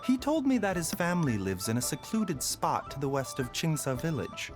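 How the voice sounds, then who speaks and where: A man voicing a gentle, reserved male doctor who speaks calmly and politely. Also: on the high side. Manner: calm, measured, polite. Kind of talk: video game voice acting. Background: game music.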